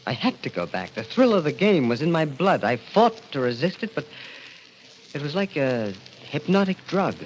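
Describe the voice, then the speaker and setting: An actor playing a haunted, thrill-addicted man who tells his own dark story in intense, confessional 1940s radio style. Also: a little high, big pitch swings, moderate pace, a little hiss or hum. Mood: bitter, compelled. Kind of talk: 1940s radio acting, first-person narration. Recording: dull old radio recording. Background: dramatic music.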